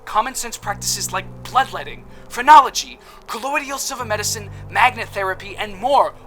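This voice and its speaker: An actor playing a theatrical, grandiose quack doctor who lectures with old-fashioned airs in an audio drama. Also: male voice, very high-pitched, a bit bright. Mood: pompous lecturing. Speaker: shopkeeper healer.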